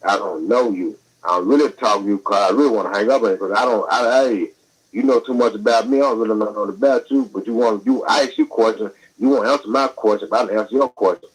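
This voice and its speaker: A man's voice: fairly low pitch, fast talker, breathy edge. A man with a Southern accent talking over a bad phone line, rambling and defensive in slangy speech. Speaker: caller. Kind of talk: phone call on air.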